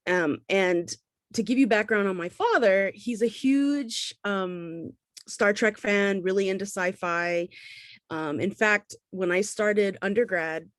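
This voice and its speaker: A woman's voice: medium pitch, slow, dry recording, studio quality. A woman from the Bahamas giving an informal artist talk in a conversational, explanatory way.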